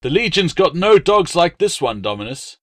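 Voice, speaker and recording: A man's voice: fairly high pitch, very animated, normal speed, no room sound, clean studio sound, pitched above the performer's own voice. A man voicing a wary, fawning dog breeder in an audiobook, with an obsequious salesman's manner. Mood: pitching, eager. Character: wary, fawning male dog breeder hawking fighting hounds. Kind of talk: audiobook character dialogue.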